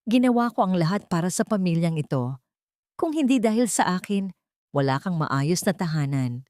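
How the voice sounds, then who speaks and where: A woman's voice: on the low side, animated, moderate pace, a little mellow, no echo, studio quality, lightly acted. A woman voicing a haughty, villainous stepmother, bitter and self-justifying, in an audio drama. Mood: indignant, bitter.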